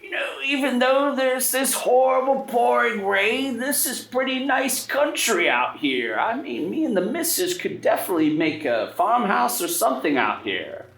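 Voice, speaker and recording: A man voicing a talkative, polite elderly gentleman in a tabletop game, with a hesitant, rambling, folksy way of speaking. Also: very high voice, very animated, moderate pace, creaky, hardly any pauses, pitched above the performer's own voice, heavily acted. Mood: chatty, wistful. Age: older. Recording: roomy echo, background noise.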